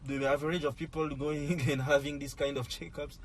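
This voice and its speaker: A man's voice: on the high side, flat delivery, a little fast. A man from Cameroon explaining health topics in casual conversation, often padding with "I mean, like". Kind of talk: casual chat. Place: inside a car. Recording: noisy car interior.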